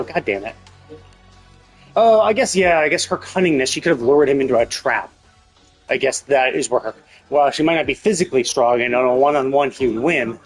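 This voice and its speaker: A man with a slightly husky voice streaming casual game commentary, thinking aloud in a questioning, rambling way. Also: medium pitch, poor audio. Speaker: live streamer.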